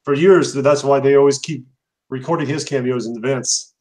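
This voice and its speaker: A man's voice: medium pitch, fairly even intonation, a little fast, darkish tone. Rambling young man hosting a chat. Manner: rambling, filler-heavy, laughs often. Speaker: host. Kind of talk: casual chat.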